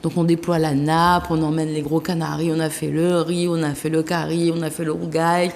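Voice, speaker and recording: A woman's voice: low voice, little pitch movement, a little fast, a little mellow. A woman in her fifties from Réunion, chatting as a guest in an interview and laughing often. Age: 50s. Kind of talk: casual interview chat. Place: TV studio. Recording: reverberant room with faint noise.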